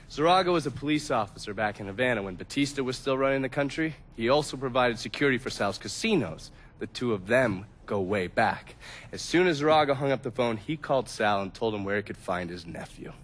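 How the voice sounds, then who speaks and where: A man's voice: mid-range voice, lively intonation, fairly quick, natural voice. A man playing a wry American intelligence operative in a video game, casual, dry and foul-mouthed. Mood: dry briefing. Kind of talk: cinematic video game acting.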